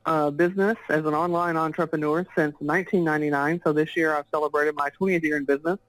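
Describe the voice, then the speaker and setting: A woman's voice: sits low, steady tone, fast talker, no echo, low-quality recording. A woman with a Texan accent, a guest author and business coach answering interview questions in a chatty, fluent way over a remote call. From Texas, US. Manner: chatty and fluent, often laughs while talking.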